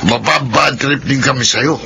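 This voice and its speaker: A man's voice: mid-range voice, normal speed, lightly acted. A man playing a carefree, scheming crook with a Filipino accent in a radio drama. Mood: gloating. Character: scheming, carefree young male crook, drinking buddy. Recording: clearly noisy background ambience.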